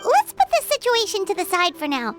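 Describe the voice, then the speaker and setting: A woman's voice: huge pitch swings, a little fast, faint music behind, heavily acted. A woman voicing a small, chirpy, curious girl companion in a video game. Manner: high, bouncy, inquisitive.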